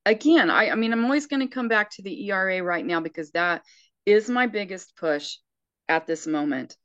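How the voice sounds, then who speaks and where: A woman's voice: medium pitch, moderate pace, dry recording, lo-fi sound. A sixty-year-old woman speaking earnestly and emphatically in casual conversation, often restarting her sentences. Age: 60s.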